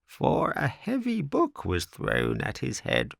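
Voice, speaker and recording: A man voicing an old man sitting by his hut, with a slow, drawn-out, creaky delivery. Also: mid-range voice, wildly swooping pitch, no room sound, clean studio sound, voice pushed up, heavily acted. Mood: calm, slow.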